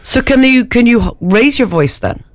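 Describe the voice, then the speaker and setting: A woman's voice: fairly high pitch, animated, dry recording, clean studio sound. Frank radio co-host woman. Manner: frank, quick reactions. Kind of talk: casual morning radio chat. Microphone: studio microphone.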